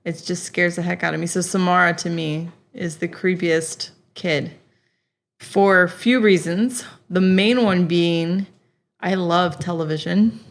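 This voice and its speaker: A woman guest on a horror podcast, telling stories in casual, lively chat. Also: fairly low pitch.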